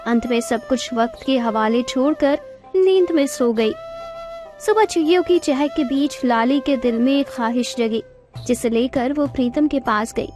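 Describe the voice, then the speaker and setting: Casting: female storyteller, high voice, scripted narration, faint music bed. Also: moderate pace, darkish tone.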